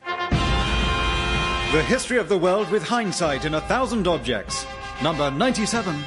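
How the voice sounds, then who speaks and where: An actor playing a grand, portentous history documentary announcer in a radio comedy. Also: male voice, high voice, big pitch swings, a little slow, over loud music. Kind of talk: parody documentary title announcement.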